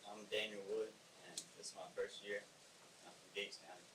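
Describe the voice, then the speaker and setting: A man's voice: on the low side, flat delivery, moderate pace. A man, a lifeguard, briefly introducing himself at a public meeting. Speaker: ocean rescue lifeguard. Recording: distant echoey room, clear hiss.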